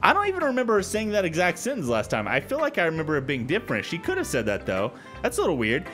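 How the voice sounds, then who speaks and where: A man's voice: on the high side, wildly swooping pitch, fast, bright, forceful tone, faint music behind. A man hosting a gaming video with casual, informal, jokey let's play commentary.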